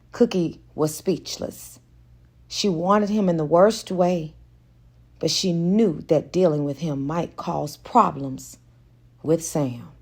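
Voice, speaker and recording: A woman narrates a romance story as an audiobook reader. Her voice sits a little low, and she takes the text at a slow, measured pace. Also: darkish tone. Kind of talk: scripted narration of a romance novel.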